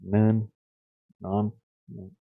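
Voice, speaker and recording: A man thinking aloud on a casual livestream as he works, muttering in a halting, absent-minded way. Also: on the low side, flat delivery, creaky. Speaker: livestreamer, hobby game developer. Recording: boxy echo, thin phone-like sound.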